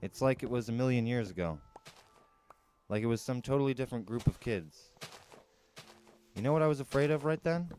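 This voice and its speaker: A man's voice: mid-range voice, animated, brisk, long pauses, darkish tone, slight background noise. A man reading a first-person story aloud as a dramatic narrator, on an amateur recording. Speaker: audiobook narrator.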